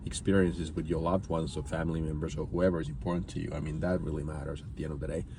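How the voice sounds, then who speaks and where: A man's voice: extremely low pitch, little pitch movement, fast, gravelly, background noise. A man from Finland speaking reflectively as a guest in a conversational interview. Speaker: interview guest, entrepreneur and business coach.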